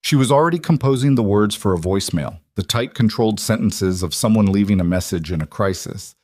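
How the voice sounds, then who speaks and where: A man's voice: on the low side, a little fast, darkish tone, no room sound. A man reading scripted dramatic fiction as an audiobook narrator.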